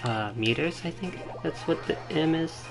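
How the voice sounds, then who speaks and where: A man giving casual live-stream game commentary, rambling and halting as he thinks aloud. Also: a little high, creaky. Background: game music.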